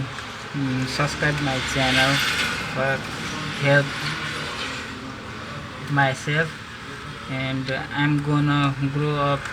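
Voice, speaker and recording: A young man, a native Nepali speaker, talking through a phone repair in halting English. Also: on the high side. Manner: halting non-native English, step-by-step instructions. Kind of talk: repair tutorial. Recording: loud background noise.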